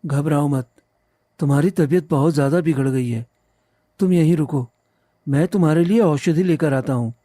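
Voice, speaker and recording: A man playing a devoted, trusting young village husband in a cartoon story, earnest and gentle. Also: a little high, normal speed, long pauses, no room sound, close to an everyday voice. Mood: worried. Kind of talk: cartoon moral story acting.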